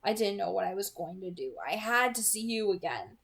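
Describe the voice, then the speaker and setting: Storybook teen boy, played by a woman: creaky, hesitant, earnest and smitten. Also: mid-range voice, expressive, a little fast. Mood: anxious, hesitant. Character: earnest, lovestruck, insecure teenage boy in a novel.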